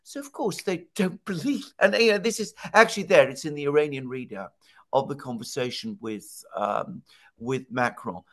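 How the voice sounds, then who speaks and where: A man's voice: a little high, normal speed, fairly bright tone. A man in his sixties giving conversational political analysis, explaining emphatically and posing rhetorical questions. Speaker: political commentator. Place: home study with bookshelves. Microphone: headset on video call.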